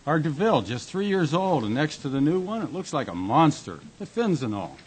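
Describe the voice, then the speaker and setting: A man playing an easygoing, devoted husband who owns a bar, chatty and halting, in a radio drama. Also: a little high, very animated, breathy edge, rough recording. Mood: animated.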